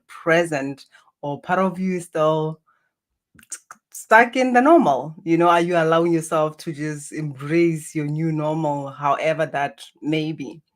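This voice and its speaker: Middle-aged woman with a Botswana accent. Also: deep voice. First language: Setswana. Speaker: interview guest, spiritual healer. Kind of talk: reflective personal storytelling.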